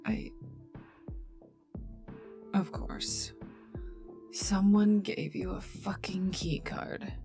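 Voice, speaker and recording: A woman's voice: low-pitched, gravelly, heavily acted. A woman playing a haughty, prickly poisoner agent in an ASMR roleplay, dry and sarcastic, often dropping near a whisper. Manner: dry, sarcastic, scornful, unhurried. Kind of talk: ASMR roleplay addressed to listener. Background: calm ambient game music.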